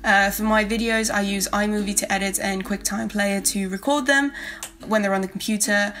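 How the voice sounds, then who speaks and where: Young woman, flat voice, Spanish accent. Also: medium pitch. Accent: South American Spanish. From South America. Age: young adult. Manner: rambling, friendly, many fillers. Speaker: art YouTuber. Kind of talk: casual voice-over while drawing. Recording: faint backing guitar music.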